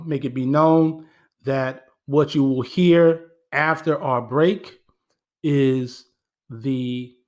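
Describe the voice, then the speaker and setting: A man hosting a community radio show, introducing the episode in an earnest, steady way with frequent fillers. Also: medium pitch.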